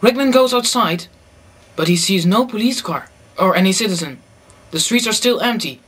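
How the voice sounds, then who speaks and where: A man's voice: high voice, animated, normal speed, a bit bright, breathy edge. A man narrating a scripted radio play as the storyteller.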